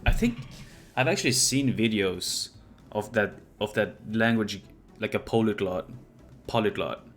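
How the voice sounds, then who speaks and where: A man's voice: lowish voice, a little slow. A young man, a university student, chatting casually as host, rambling and restarting as he thinks aloud. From Sweden. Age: young adult. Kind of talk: casual chat.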